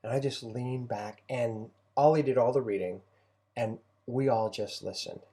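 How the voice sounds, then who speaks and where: A middle-aged man talking conversationally as a parent and co-host, reflective and halting, often repeating phrases. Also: medium pitch, dark tone.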